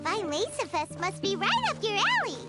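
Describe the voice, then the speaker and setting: A tiny, cheeky fairy sidekick from a video game. The voice is extremely high and squeaky, swooping about in cutesy, childlike exclamations over prominent music. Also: female voice, wildly swooping pitch, moderate pace, exaggerated voice. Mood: perky, teasing. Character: small, cheeky, childlike floating fairy companion, female. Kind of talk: video game character dialogue.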